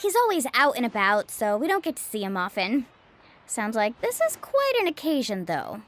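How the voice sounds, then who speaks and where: A woman's voice: a little high, wildly swooping pitch, moderate pace, fairly bright tone. A woman voicing an upbeat, friendly young island guide and shopkeeper in a video game, with a bubbly, earnest manner. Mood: informative. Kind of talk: English game voice acting.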